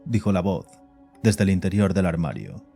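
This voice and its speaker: Deep-voiced man narrating horror fiction. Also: sing-song, normal speed, gravelly, warm tone. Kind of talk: scripted horror story narration. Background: faint music.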